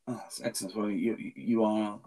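A man's voice: fairly low pitch, fairly even intonation, normal speed, warm tone. A man whose first language is Farsi, hosting a casual podcast interview, talking with frequent ums and restarts. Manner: hesitant, many ums and restarts. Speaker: podcast host.

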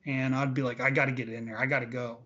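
A man's voice: mid-range voice, level tone, fast, pushed and bright. A man in his late forties giving casual, emphatic interview answers, repeating points for stress. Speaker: interview guest, veteran bodybuilder. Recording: dull, lo-fi audio.

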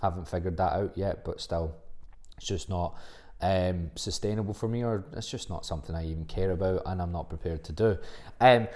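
A soft-spoken man with a low, deep voice talks through his podcast on his own. His tone is mellow and relaxed. He is blunt and rambling, full of fillers and casual swearing. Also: fairly quick. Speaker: podcast host and fitness coach. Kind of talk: casual solo podcast monologue.

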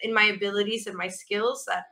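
A woman rock vocalist with a naturally raspy voice, chatting casually in an interview, with lots of fillers and restarts. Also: mid-range voice, steady tone, a little fast, bright, forceful tone. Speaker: rock band vocalist, guest.

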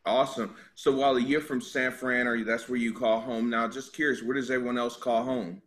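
A man's voice: mid-range voice, flat delivery, fairly quick, a bit bright. A man hosting a podcast panel, chatting casually, teasing and asking each guest questions. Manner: laughing, teasing, asks questions. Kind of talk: casual group chat.

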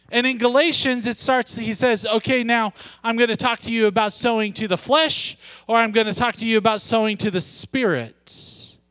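A man with a loud, high-pitched voice preaches a sermon at a deliberate, unhurried pace. He mixes joking asides with slow, stressed lines of scripture. The sound is dry and close, with no room echo. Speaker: pastor.

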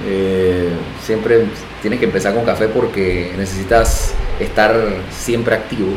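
A middle-aged man with a Panamanian accent, talking casually as an interview guest, animated and self-deprecating, repeating phrases for emphasis. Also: lowish voice, measured pace. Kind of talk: casual interview conversation, storytelling. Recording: echoey room, faint background noise.

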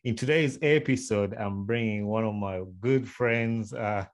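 A man's voice: on the low side, a little slow. A man from Uganda hosting a casual podcast, eagerly welcoming his guest and often repeating himself. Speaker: podcast host.

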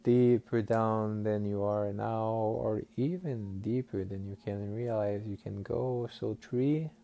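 A man guiding a listener into hypnotic trance in a slow, soothing, suggestive way, over faint music. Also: fairly low pitch, fairly even intonation, warm tone. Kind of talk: guided hypnosis session.

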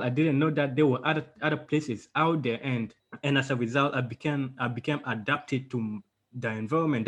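A man's voice: mid-range voice, breathy edge. A young man from Uganda being interviewed as a guest author, telling his story in a casual, rambling way with many fillers and restarts over a video call.

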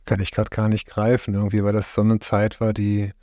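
A middle-aged man from Germany, interviewed about his life, speaks in a relaxed, conversational way, often hesitating and searching for his words. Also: on the low side, brisk, no echo, clean studio sound. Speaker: interviewee, farm host.

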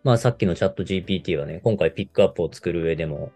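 A man around forty with a very low, creaky voice. He talks quickly, explaining things casually as a radio co-host. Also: warm tone. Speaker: radio show co-host, marketer. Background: background music.

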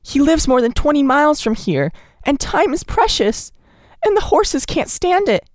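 A woman's voice: high voice, animated, unhurried, dark tone, no room sound, studio quality, voice pushed up. A woman reading aloud voices a young wife who sobs and begs a doctor to come. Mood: desperate pleading. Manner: sobbing, begging, insistent. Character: young wife, frantic and pleading.

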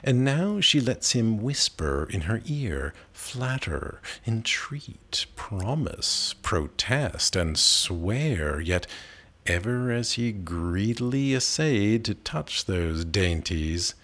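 Male poetry reader, low register, slow measured delivery, literary and dramatic. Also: big pitch swings, fairly bright tone. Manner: measured, dramatic phrasing with pauses. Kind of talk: expressive literary recitation of narrative verse.

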